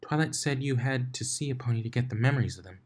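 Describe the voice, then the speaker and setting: Blitz, a man doing a deadpan young colt. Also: medium pitch, fast talker, pitched above the performer's own voice, natural voice. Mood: dry.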